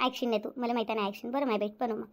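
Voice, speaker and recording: A woman's voice: a little high, fairly even intonation, rapid-fire, over loud music, natural voice. A woman voicing a harried, dutiful elder sister-in-law in a Marathi cartoon, resigned and chatty, with a rural Varhadi accent. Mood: dutiful, accommodating. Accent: rural Maharashtra, Varhadi Marathi. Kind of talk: domestic cartoon story dialogue.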